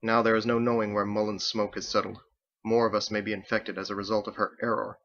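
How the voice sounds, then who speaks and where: A stern woman executioner from an audiobook, read by a man in his own low voice. The delivery is formal, measured and coldly logical, with a crisp, bright edge. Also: level tone, muffled recording. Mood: cold, composed. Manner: formal, measured, clipped without contractions.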